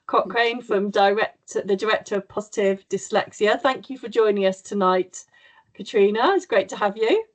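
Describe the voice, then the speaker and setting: A woman's voice: mid-range voice, normal speed. A woman hosting a webinar, welcoming the audience and introducing the guest with a few hesitations. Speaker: host.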